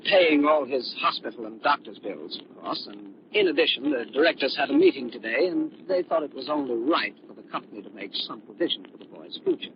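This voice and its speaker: A polite company personnel manager played by a man in a 1930s radio drama. He is hesitant and earnest, with lively inflection at a middling pitch. The recording is dull and clearly noisy. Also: a little fast, hardly any pauses, lightly acted. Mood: polite, concerned. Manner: hesitant, deferential. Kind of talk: 1930s radio mystery acting.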